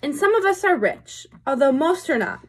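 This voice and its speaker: A woman's voice: high voice, sing-song, normal speed, a little hiss or hum. A young adult woman reading a picture book to children as a teacher would, with explanatory asides and questions to her listeners. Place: small room indoors.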